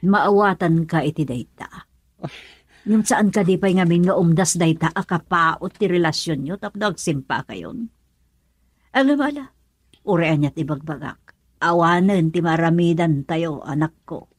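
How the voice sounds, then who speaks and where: A woman's voice: fairly low pitch, measured pace, husky, no room sound. A woman with an Ilocano accent playing a scolding middle-aged mother in a radio drama.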